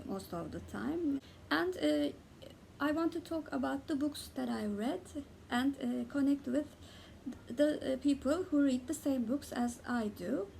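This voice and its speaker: High-voiced Turkish woman, halting and gravelly. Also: darkish tone, a little hiss or hum. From Turkey. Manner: hesitant, many "uh" pauses between words. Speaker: book vlogger. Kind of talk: casual tag video talk.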